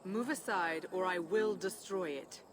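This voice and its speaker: A woman's voice: fairly low pitch, unhurried, a little hiss or hum, lightly acted. Cold, defiant woman challenger, acted. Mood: cold, commanding.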